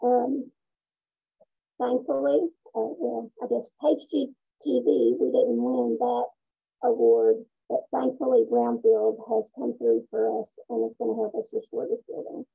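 Woman, hoarse, raspy, high voice, phone-like video call sound. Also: flat delivery, unhurried. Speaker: Main Street director. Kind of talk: webinar presentation. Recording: tinny phone-like call audio.

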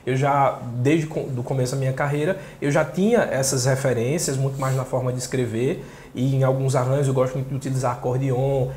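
A man in his thirties with a Pernambuco accent from northeastern Brazil, talking casually in a soft voice as an interview guest. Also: mid-range voice, fairly even intonation, brisk, slight background noise. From Caruaru, northeastern Brazil. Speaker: interview guest, singer-songwriter and journalist. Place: small indoor room. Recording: echoey small room.

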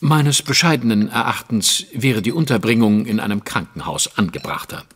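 An actor playing a shrewd, impatient gentleman detective in a German audio drama, speaking precisely and formally. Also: fairly low pitch, lively intonation, normal speed, husky, exaggerated voice. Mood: formal, insistent.

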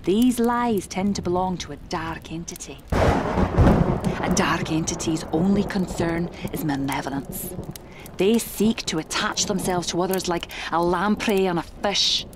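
A woman's voice: lowish voice, a little slow, heavy background noise, close to an everyday voice. A woman playing a commanding, mysterious spirit medium of the 1920s in a horror audio drama, with formal, theatrical diction. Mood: solemn, confiding. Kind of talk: dramatic horror podcast acting.

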